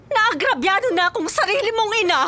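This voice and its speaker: A woman's voice: extremely high pitch, lively intonation, a little fast, sharp tone, heavily acted. A Filipino actress playing a stern, aggrieved middle-aged mother, dramatic and reproachful in a radio drama. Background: dramatic music.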